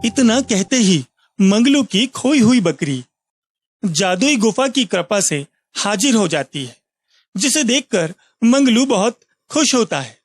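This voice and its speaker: A man's voice: sits high, sing-song, slow, dry recording. A man narrating a scripted moral story for children as a storyteller. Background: music.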